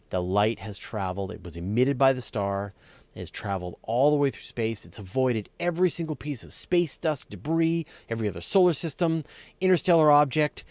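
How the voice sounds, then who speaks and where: A man with a fairly low voice talks through science questions as the host of a live show. His delivery is casual and unscripted, working out answers aloud and leaning on key words for emphasis. The sound is dry, with very little room in it. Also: darkish tone, no echo.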